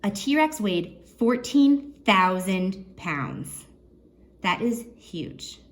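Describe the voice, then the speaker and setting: Expressive woman reading aloud to children. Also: mid-range voice, drawn-out words. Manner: expressive, engaging listeners with questions. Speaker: children's librarian. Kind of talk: children's read-aloud with asides and questions to young listeners.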